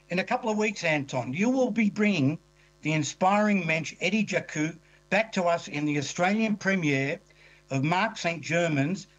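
A man hosting a program, introducing his guest with measured enthusiasm in a polished presenter manner. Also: a little high, highs cut off. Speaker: radio program host. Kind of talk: formal interview introduction.

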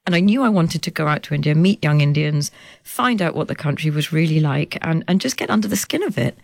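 A woman in her forties talks as an interview guest, her voice carrying a light gravelly edge. She is animated and quick to laugh, her pitch swinging up as she gets excited. The sound is dry and close. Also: no room sound. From United Kingdom. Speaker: journalist and author guest. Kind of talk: conversational interview.

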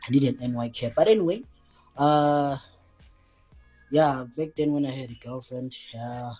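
A man calls in to a radio show and rambles, filling the gaps with uhs and slang. His voice is husky, with faint music in the background. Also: medium pitch, normal speed, dark tone, rough recording. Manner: rambling, full of uh and restarts, slangy. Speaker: caller.

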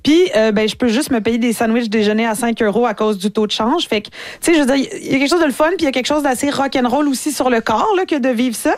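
A woman's voice: on the high side, fast, no room sound. A woman from Quebec with a Quebec French accent, a guest telling personal anecdotes in a wry, self-deprecating, casual way and acting out remarks. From Quebec, Canada. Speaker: radio guest, stage performer. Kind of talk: comic personal storytelling in casual chat.

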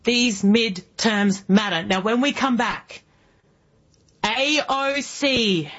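A woman in her early forties with an Australian accent, hosting political talk radio in an emphatic monologue full of rhetorical questions, with a husky voice. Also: on the high side, slow pace, a bit bright, muffled recording. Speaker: guest radio host.

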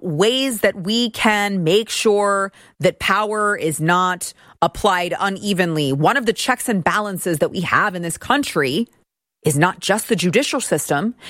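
A woman's voice: medium pitch, fairly bright tone, no room sound, clean studio sound. A woman hosting a political commentary show, talking in a sarcastic, mocking way. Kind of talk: opinion commentary monologue.

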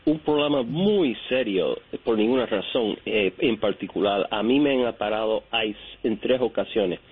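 A man from New York calling in to a radio show, telling his story haltingly with many fillers and restarts. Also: lowish voice, lively intonation, slightly rough. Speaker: caller. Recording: tinny phone line.

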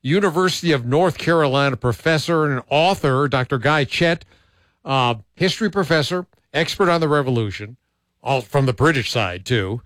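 A man's voice: medium pitch, normal speed, fairly bright tone, no room sound. A man hosting a talk radio show, chatting loosely with many fillers and blunt opinions. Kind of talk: casual talk radio chat.

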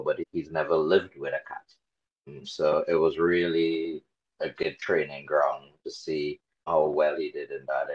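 A man in his forties from Trinidad and Tobago, talking casually as an interview guest, relaxed and a bit hesitant with many fillers. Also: a bass voice, breathy edge, dull audio. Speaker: interview guest, dog carer volunteer.

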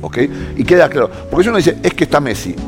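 Argentine man, sports panelist, quick and animated, music under the studio talk. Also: fairly high pitch. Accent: Argentine Spanish. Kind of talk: lively studio sports debate.

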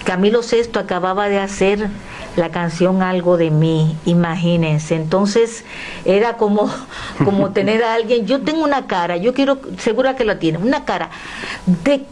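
An older woman from the Dominican Republic tells her stories with a lively, animated delivery. She acts out remembered conversations as she talks, over a faint hiss of background noise. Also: medium pitch, moderate pace. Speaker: guest. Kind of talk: animated personal storytelling.

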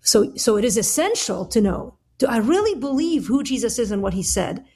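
A woman's voice: a little high, very animated, fairly quick, a little mellow, slightly rough. A middle-aged woman from Lebanon answering conversationally as an interview guest, explaining things candidly. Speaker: interview guest, author and Bible teacher.

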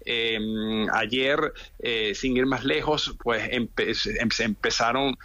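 A man reports live as a correspondent, stumbling over repeated words and fillers. His voice is bright and forward, sitting at an average pitch, with a dry, close sound. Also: fairly even intonation, slow, no room sound, muffled recording.

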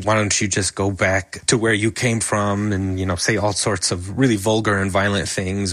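An American man speaks as a physician being interviewed. His pitch is slightly low, and the recording is close and dry. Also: a bit bright, dry recording. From United States. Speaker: interview guest, emergency physician and advocate. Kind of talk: spoken interview answers.

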